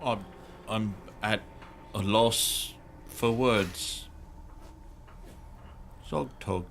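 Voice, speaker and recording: A man playing a tabletop game, talking casually in a hesitant, halting way. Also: on the low side, gravelly, a bit bright, slight background noise. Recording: music behind the voice.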